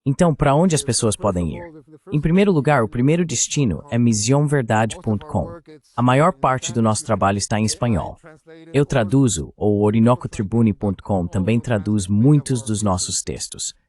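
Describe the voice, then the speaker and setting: A man's voice: fairly low pitch, expressive, moderate pace, darkish tone. A man with a Brazilian accent speaking as an interview guest, giving political analysis in a translated voice-over.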